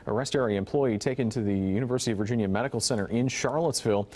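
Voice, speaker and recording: A man's voice: medium pitch, rapid-fire, darkish tone. An American man anchoring a newscast, reading stories and bantering casually with a co-anchor. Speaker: news anchor.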